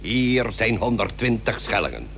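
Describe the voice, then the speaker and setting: An actor playing an imperious, threatening Barbary potentate in a period radio drama, with commanding, archaic formal speech. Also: male voice, fairly low pitch, big pitch swings, normal speed, creaky, fairly bright tone, heavily acted. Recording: noisy old radio recording with hum.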